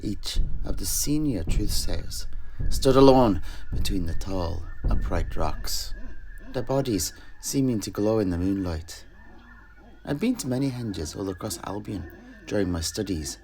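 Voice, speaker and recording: A man plays a wistful fantasy alchemist telling tales of his homeland. His voice is low and gravelly, his delivery measured and poetic, with faint noise behind it. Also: animated, darkish tone, low-quality recording. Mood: solemn recounting. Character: wistful male alchemist truth-sayer recounting his homeland. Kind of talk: in-character fantasy storytelling.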